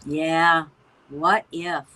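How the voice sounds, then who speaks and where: A woman's voice: sits low, fairly even intonation, drawn-out words, bright, forceful tone, full character voice, rough recording. A woman playing a frazzled, sardonic mother of a grown son, dry and exasperated, in naturalistic play dialogue. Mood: sardonic, indignant.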